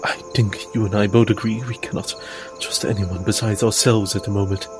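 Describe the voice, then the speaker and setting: A man's voice: lowish voice, steady tone, a little fast, music in the background, pitched below the performer's own voice, natural voice. A man playing a cautious, protective, weary adventurer in tabletop roleplay, speaking earnestly with some hesitation.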